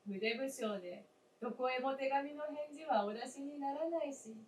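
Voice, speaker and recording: An actress playing the gentle, wistful spirit of a dead wife in a staged radio drama, with polite, old-fashioned feminine speech and deliberate phrasing. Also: female voice, sits high, natural voice. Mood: gently reproachful. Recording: roomy stage, distant mic, faint noise.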